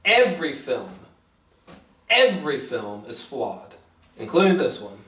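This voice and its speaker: A man chatting casually through a movie review, rambling and halting, with frequent swearing. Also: medium pitch, very animated, fairly bright tone. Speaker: film review host. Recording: roomy echo, distant from mic.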